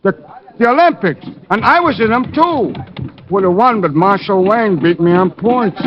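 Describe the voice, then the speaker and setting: An actor playing a slow-witted, boastful American prizefighter with slangy, ungrammatical speech in an old radio detective drama. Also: male voice, high voice, expressive, background noise. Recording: muffled old radio broadcast recording.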